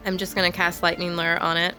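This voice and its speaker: A woman's voice: lowish voice, completely flat delivery, quick pace. A woman playing a tabletop role-playing game, talking casually about her turn. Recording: background music under the voice.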